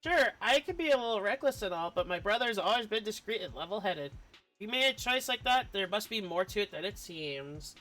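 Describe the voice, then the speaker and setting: A man's voice: high-pitched, lively intonation, a little fast, sharp tone, soft background music, poor audio. A man streaming a story game, reading lines along and reacting to them.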